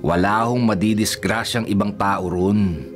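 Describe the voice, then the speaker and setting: A man's voice: lowish voice, steady tone, a little slow, faint music behind, natural voice. An actor playing a cocky, stubborn, hard-drinking policeman in a Filipino radio drama, boastful and flippant. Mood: scoffing.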